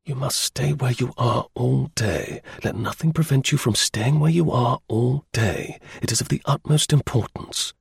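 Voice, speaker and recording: A man voicing a brisk, observant gentleman detective in a storybook, incisive, courteous and authoritative. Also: medium pitch, animated, creaky, slightly rough, no room sound, studio quality. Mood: intense, urgent.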